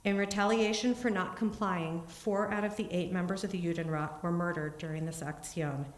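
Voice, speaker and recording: A woman giving an earnest, steady talk in a synagogue, then answering questions, with small restarts. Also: on the low side, moderate pace. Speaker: congregant giving a family history talk. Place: synagogue sanctuary. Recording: echoey room.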